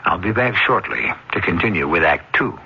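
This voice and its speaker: A man hosting a radio drama, narrating in a measured, formal, literary storytelling style. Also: low-pitched, animated, breathy edge. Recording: dull old radio broadcast, loud music.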